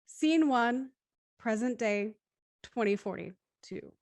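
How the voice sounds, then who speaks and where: Higher-voiced woman hosting a live stream. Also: animated, takes it slowly, darkish tone, no echo. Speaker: host of a live script-reading show.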